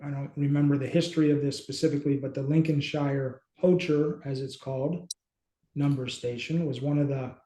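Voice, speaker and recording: A middle-aged man hosting a casual screen-share walkthrough, speaking haltingly as he thinks aloud. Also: medium pitch, fairly even intonation, dark tone. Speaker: host and app company team member. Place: small indoor room, video call. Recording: roomy, echoey home room.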